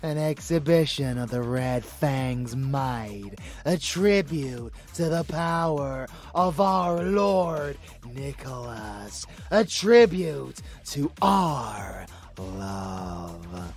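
A man playing a murderous, possessive male vampire lover in an ASMR audio drama, theatrical and drawn-out, both adoring and menacing. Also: mid-range voice, animated, faint music behind. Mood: adoring, intimate.

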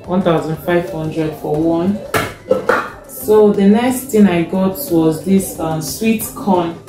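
A young Nigerian woman with a Nigerian accent, casually showing her grocery haul, taking her time, checking a receipt and often pausing with fillers. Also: fairly low pitch. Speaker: vlogger. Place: home kitchen, indoors. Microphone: fixed camera in front. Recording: echoey kitchen, faint music.

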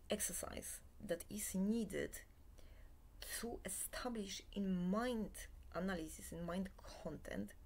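A woman in her forties, a Polish native speaker, giving a halting, half-whispered talk into a laptop webcam in a small bed-and-breakfast room. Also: mid-range voice, a little mellow. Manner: halting, many filler pauses, often half-whispered. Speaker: self-help lecturer. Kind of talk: slow unscripted monologue to webcam.

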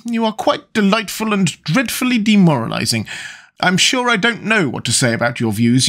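A man's voice: high-pitched, bright, forceful tone, pitched above the performer's own voice, full character voice. A man doing a fluttery old duchess. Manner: gushing, flighty. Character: fluttery older aristocratic lady.

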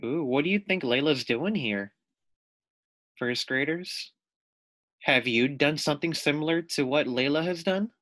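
A man reading a picture book aloud to young children as their teacher, deliberate and explanatory. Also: fairly high pitch, a bit bright, dry recording. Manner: deliberate, explanatory, asks the listeners questions.

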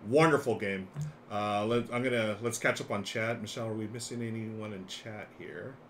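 A middle-aged man with a fairly low voice hosts a board game stream. He talks in a chatty, enthusiastic, off-the-cuff way, with clear noise in the recording. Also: moderate pace. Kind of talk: casual live-stream chat and game demonstration. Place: small room lined with board games. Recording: clear steady background noise.

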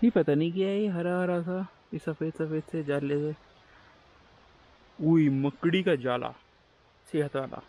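A man's voice: fairly high pitch, steady tone, measured pace, pauses often, mellow, rough recording. A young man talking casually to camera while hiking a forest trail, joking in a running commentary to himself. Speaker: travel vlogger.

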